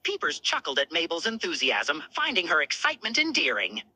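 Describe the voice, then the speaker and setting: A woman narrates a scripted story with a very sharp, forceful tone. Her pitch moves a lot, and the voice has a slightly husky edge. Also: wildly swooping pitch, fairly quick, low-quality recording.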